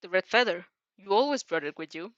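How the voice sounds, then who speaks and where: A tired male teacher, as a woman reader puts him on, gruff yet quietly caring. He talks in short, low-key muttered story lines. The sound is dry and slightly muffled. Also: expressive, a little fast, no room sound. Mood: questioning. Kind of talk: fan fiction dialogue read aloud. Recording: slightly dull, dry recording.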